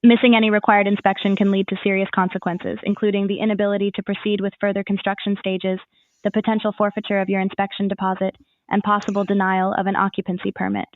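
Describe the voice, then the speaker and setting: A woman's voice: medium pitch, level tone, quick pace, no room sound, phone-quality sound. A woman's voice as an AI assistant, giving scripted, formal informational answers in a customer-service manner.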